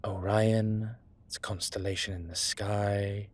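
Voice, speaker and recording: A man playing a low-key investigator in a roleplay audio drama, speaking quietly and laconically with dry teasing. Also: flat delivery, unhurried. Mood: quiet, musing.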